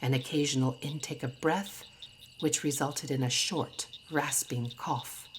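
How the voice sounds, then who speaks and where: Low-voiced woman reading a story. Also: fairly even intonation, unhurried, a little hiss or hum. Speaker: story reader. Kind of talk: scripted literary narration.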